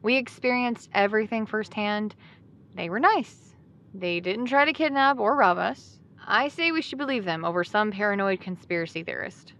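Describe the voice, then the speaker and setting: A woman's voice: mid-range voice, expressive, moderate pace, a bit bright. A woman playing a trusting, firmly decided best friend in an audio drama, talking in a casual, assured way that brushes off doubt. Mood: reassuring, dismissive. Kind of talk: audio drama acting, conversational.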